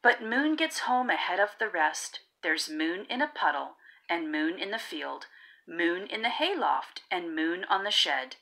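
Bright, very animated woman storybook reader. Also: fairly low pitch. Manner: rhythmic, theatrical, drawn-out emphasis. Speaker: read-aloud narrator of children's picture books. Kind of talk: expressive storybook reading.

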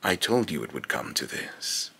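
A man's voice: sits low, sing-song, sharp tone, heavily acted. A young man playing a menacing, scornful godlike villain in pompous, theatrical monologue, recorded in a small room at home. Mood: scornful, accusing. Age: young adult. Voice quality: gravelly, raspy. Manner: slow, pompous, theatrical delivery. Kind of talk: dramatic villain monologue, rehearsed voice lines.

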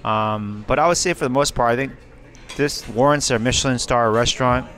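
A man's voice: medium pitch, brisk, a bit bright. A man in his thirties filming a restaurant review vlog, handheld camera mic in a restaurant dining room, enthusiastic and repeating his praise. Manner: enthusiastic, repeats wow and very nice. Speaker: food vlogger. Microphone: handheld camera with small mic.

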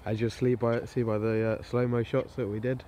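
Laid-back man with a mellow voice outdoors. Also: mid-range voice, little pitch movement, fairly quick. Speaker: angler presenting a fishing vlog. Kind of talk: casual chat to camera. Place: outdoors on a lakeside bank. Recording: outdoor camera audio, clear background noise. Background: outdoor ambient noise.

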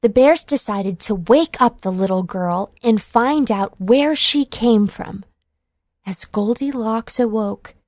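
A woman narrating a children's storybook in a measured, clearly enunciated read-along delivery. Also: medium pitch, a little mellow, no room sound, clean studio sound.

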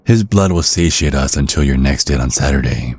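Man acting a very deep, menacing vampire father. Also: lively intonation, a little mellow, husky, exaggerated voice. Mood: smugly pleased. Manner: measured, politely threatening, formal. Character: menacing, courtly vampire father, centuries old, protective. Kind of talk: ASMR roleplay acting.

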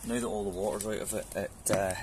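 Low-voiced man, chatty garden vlog. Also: fairly even intonation, fast talker, background noise. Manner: chatty, loose, with many ums and restarts. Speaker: home DIY vlogger. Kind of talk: casual how-to vlog. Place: back garden outdoors. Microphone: camera mic on a fixed camera.

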